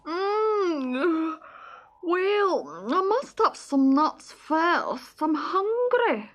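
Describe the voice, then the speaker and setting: A woman's voice: extremely high pitch, big pitch swings, a bit bright, lo-fi sound, voice pushed up, full character voice. A woman doing a drowsy, mumbling squirrel. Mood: sleepy. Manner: sleepy, slow, mumbling. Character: drowsy male red squirrel.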